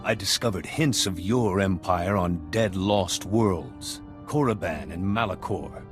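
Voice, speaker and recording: An actor playing a grave, haunted Jedi zealot in a video game, speaking with solemn, deliberate intensity. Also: male voice, sits low, music in the background. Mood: somber. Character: fallen, redeemed male Jedi, grave, haunted, resolute zealot. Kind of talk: dramatic video game acting.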